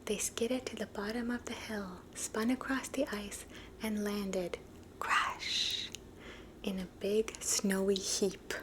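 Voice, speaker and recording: Slow-whispering woman reading a storybook. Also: mid-range voice, level tone, noisy background. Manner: soft unvoiced whisper. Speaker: storybook reader.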